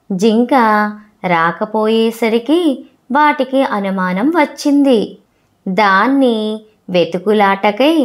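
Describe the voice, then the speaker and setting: Slow, higher-voiced woman telling a fable. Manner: measured, short deliberate phrases with pauses. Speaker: storyteller. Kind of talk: scripted narration of a children's moral fable.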